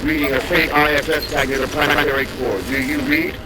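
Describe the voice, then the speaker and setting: An actor playing a brisk, commanding naval officer in a video game, speaking in clipped military procedure over a radio. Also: male voice, on the high side, level tone. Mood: brisk, intent. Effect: radio transmission. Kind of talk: video game cutscene acting. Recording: noisy distant radio transmission.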